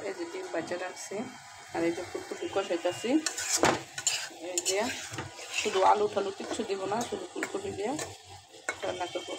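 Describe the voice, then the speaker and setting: A woman with a Bangladeshi accent, a mother casually narrating her home vlog and chatting with family. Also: medium pitch. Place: indoors at home. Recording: household noise, clattering dishes.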